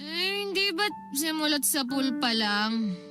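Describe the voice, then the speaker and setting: A bitter younger sister in a Filipino radio drama. She speaks slowly with wide, animated swoops, sounding petulant and defiant, with music clearly behind her. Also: high-pitched, exaggerated voice. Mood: flippant, dismissive. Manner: sarcastic, petulant, drawn-out delivery.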